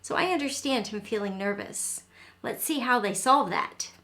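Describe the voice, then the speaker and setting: A woman reading a storybook aloud to young children, expressive and instructive, pausing to ask questions and explain. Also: on the high side, slight background noise. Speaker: read-aloud host teaching young children.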